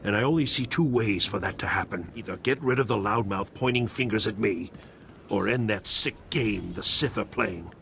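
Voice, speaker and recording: An actor playing a shady alien bounty hunter in a video game, curt, defensive and matter-of-fact, with some background ambience. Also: male voice, fairly low pitch, normal speed, gravelly, fairly bright tone, a little hiss or hum. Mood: uneasy bargaining. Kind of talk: dramatic video game acting.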